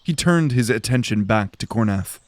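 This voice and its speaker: A man's voice: lowish voice, lively intonation, normal speed, dry recording. A man giving dramatic scripted narration for a fantasy audio drama.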